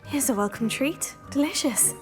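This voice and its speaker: Polite, higher-voiced schoolgirl. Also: lively intonation, normal speed, music in the background. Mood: curious, musing. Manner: polite, inquisitive. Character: polite, curious teenage witch student. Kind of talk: video game character acting.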